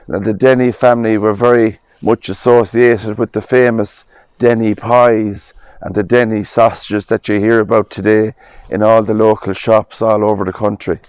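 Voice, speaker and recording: Hoarse Irish man, low and smooth. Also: level tone, no echo. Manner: gentle, unhurried, with frequent "uh" pauses. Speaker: vlogger. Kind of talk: casual walking vlog, reading headstones aloud. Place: outdoors in an old cemetery.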